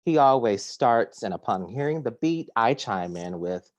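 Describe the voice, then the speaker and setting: Slow, measured man reading poems. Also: medium pitch, animated, no echo. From Detroit, United States. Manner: measured, deliberate reading that drops to a hush. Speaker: poet. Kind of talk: poetry reading. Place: home, at a kitchen table. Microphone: video call.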